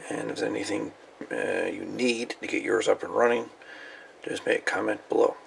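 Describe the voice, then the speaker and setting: A man giving a casual hands-on show-and-tell as a hobbyist, in a folksy, rambling, halting way. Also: fairly low pitch, steady tone, vocal fry, slight background noise. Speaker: radio hobbyist presenting salvaged parts.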